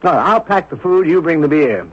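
An inquisitive, urbane sleuth from a radio mystery. His voice is fairly high and husky, with animated swings and a teasing polish, heard on a dull old radio recording. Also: moderate pace. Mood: teasing, friendly. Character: urbane, inquisitive amateur sleuth, a genial man. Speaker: actor. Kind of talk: radio mystery drama acting.

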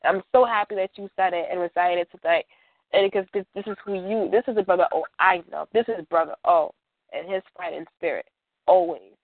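A woman's voice: lowish voice, brisk, no room sound. Heartfelt woman on a phone-like line. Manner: emphatic, repeats phrases for stress. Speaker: radio show co-host. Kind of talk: heartfelt supportive talk. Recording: narrow phone-like call-in line.

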